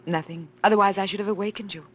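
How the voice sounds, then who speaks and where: Actress as a devoted young lover, breathy, soft-spoken, mid-pitched, old-time radio play. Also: female voice, steady tone, racing, dull audio, natural voice. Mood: tender. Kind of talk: 1940s radio acting.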